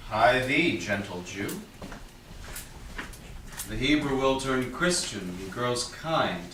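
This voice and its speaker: Guy doing cocky scornful shakespeare merchant, slow pace, echoey room. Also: mid-range voice, expressive, a bit bright. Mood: breezy, confident. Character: proud, scornful, confident merchant borrowing money. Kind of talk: staged reading of Shakespeare. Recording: distant, echoey room with background noise.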